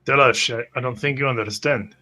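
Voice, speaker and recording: A cautious adventurer, played by a man in a fantasy tabletop game. His voice sits at a middle pitch, wary and slightly hesitant. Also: fairly quick, sharp tone, close to an everyday voice. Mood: alarmed.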